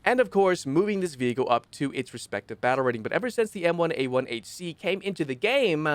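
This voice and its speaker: Young male gaming host, phlegmy rough voice, a touch high, rambling casual commentary, dry close mic. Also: expressive, quick pace, no room sound. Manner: rambling, self-correcting, opinionated. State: phlegmy, rough voice after illness.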